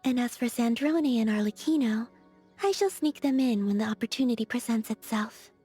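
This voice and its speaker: A woman voicing a gentle, naive young moon goddess in a video game, with a soft, airy, delicate voice and a serene, measured, innocently earnest delivery. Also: fairly high pitch, breathy edge. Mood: calm, earnest. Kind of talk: scripted video game character dialogue. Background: background music.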